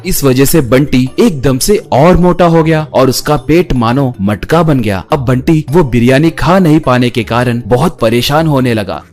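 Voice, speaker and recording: A man's voice: mid-range voice, a little slow, hardly any pauses, a little mellow, faint music behind. A man reading scripted narration as the storyteller of a cartoon.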